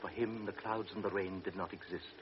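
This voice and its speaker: A man narrating a classic radio drama in a measured, grave storytelling voice. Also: low-pitched, steady tone. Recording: dull old radio recording with hiss.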